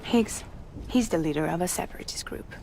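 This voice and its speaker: A woman playing a guarded, world-weary mercenary in a video game, speaking quietly in a clipped, understated way. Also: lowish voice, brisk, creaky, whispered. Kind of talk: video game cutscene acting.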